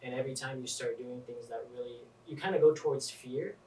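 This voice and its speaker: A man's voice: medium pitch, brisk, a little mellow, far from the mic. Man asking questions in a roomy space. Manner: curious, asks follow-up questions. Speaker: podcast co-host. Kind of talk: casual interview. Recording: echoey room, off-mic, faint noise.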